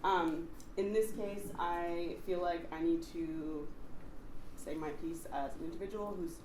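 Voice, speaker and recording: A hoarse woman, an assistant library director, speaking at public comment in a measured and earnest way. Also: on the low side, fairly even intonation. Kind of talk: public comment at a board meeting. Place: town meeting room. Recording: roomy government-access recording with background hiss.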